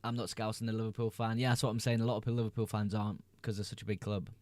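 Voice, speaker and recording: A man's voice: on the low side, level tone, rapid-fire, a little mellow, no echo. A young man hosting a casual livestream, reading viewers' chat messages aloud and answering them in a laid-back way. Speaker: livestream host.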